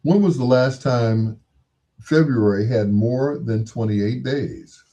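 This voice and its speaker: Slow, mellow, husky American man. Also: mid-range voice. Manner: musing, asks rhetorical questions. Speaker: radio-style host. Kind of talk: casual rambling monologue.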